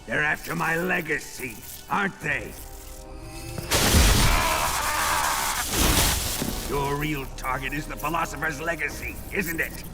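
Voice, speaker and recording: A man's voice: a little high, moderate pace, loud music behind the voice, natural voice. An actor playing a sadistic, domineering military officer in a video game, theatrical and menacing as he savours his words. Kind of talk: dramatic video game acting.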